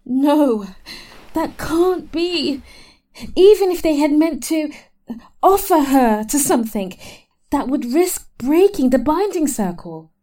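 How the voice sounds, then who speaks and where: A woman playing a prim, earnest young spellcaster with a ladylike, deliberate manner in an audio drama. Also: sits high, animated, dark tone, no echo. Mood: troubled.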